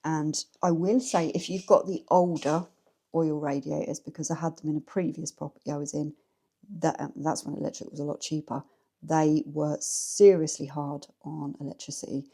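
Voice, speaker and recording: A woman's voice: deep voice, level tone, moderate pace, vocal fry, warm tone. A woman with an English accent chatting frankly to camera from her living room at home, talking straight to her viewers. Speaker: vlogger. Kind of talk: casual chat to camera. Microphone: camera set up in the room.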